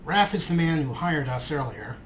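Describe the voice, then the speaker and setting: Male let's play host, lively swooping delivery, theatrical phrasing, faint noise behind. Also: fairly high pitch, moderate pace. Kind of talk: gameplay commentary.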